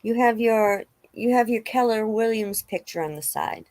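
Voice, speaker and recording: A woman reading the part of a calm, reassuring law officer in a dramatic reading of a witness interview. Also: fairly high pitch, moderate pace, dry recording, natural voice. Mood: matter-of-fact. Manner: matter-of-fact, reassuring.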